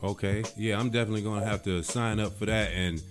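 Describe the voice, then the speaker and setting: A man's voice: on the low side, steady tone, fast. A man hosting a casual radio interview on a studio microphone, talking colloquially and offering supportive, affirming replies. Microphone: studio microphone with pop filter. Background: music.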